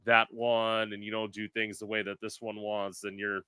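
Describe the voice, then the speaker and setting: Bright-voiced chatty man, dry sound. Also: lowish voice, level tone, normal speed, clean tone, dry recording. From South Florida. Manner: chatty, lots of "like" fillers. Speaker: podcast host. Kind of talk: casual podcast chat.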